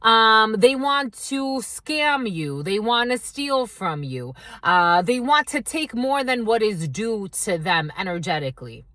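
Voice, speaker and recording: A woman's voice: medium pitch, fairly bright tone, dry recording, studio quality. A woman doing a channeled tarot reading, rambling from one intuitive impression to the next and speaking straight to her viewers. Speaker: tarot reader.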